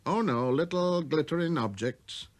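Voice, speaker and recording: A man's voice: fairly high pitch, measured pace, voice pushed up, natural voice. A man voicing a busy, self-important middle-aged businessman in a storybook, irritable and muttering, hesitating over his figures.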